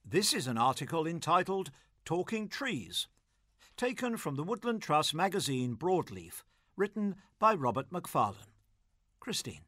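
A man's voice: fairly high pitch, big pitch swings, unhurried, a bit bright, dry recording, clean studio sound. A man presenting scripted links for an audio magazine programme.

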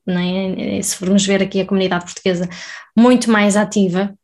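A woman's voice: mid-range voice, steady tone, a little fast, darkish tone. A woman interviewing a guest in a casual conversation, chatty and often adding tag questions.

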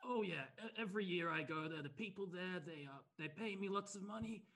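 A man's voice: sits high, a little fast, fairly bright tone. A man playing a greedy, self-important motivational speaker who rambles earnestly. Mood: smug. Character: smug sleazy male motivational speaker, greedy.

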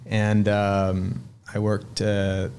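Brief: late-30s Swedish-born man, low-pitched, unhurried storyteller, faint background hum. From Sweden. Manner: relaxed, reflective storytelling with frequent "uh" and repeated words. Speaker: restaurant owner, podcast guest. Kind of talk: casual interview conversation.

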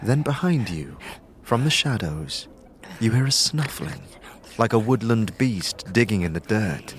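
A man narrating a fantasy audio drama from a script in a measured, suspenseful storytelling manner. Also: lowish voice, fairly bright tone, a little hiss or hum. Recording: music under the voice.

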